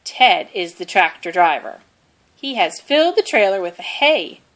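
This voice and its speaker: Slow, expressive woman reading a children's story. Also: medium pitch. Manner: expressive, deliberate. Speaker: storybook reader. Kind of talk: children's read-aloud narration.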